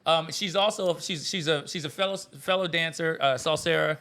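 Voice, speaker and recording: A man with a bright, forceful voice pitched a little above the usual, co-hosting a casual podcast. He tells stories in an animated way and jokes with his co-hosts. Each line comes out with punch. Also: normal speed. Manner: animated storyteller, joking and laughing. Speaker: podcast co-host. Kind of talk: casual chat.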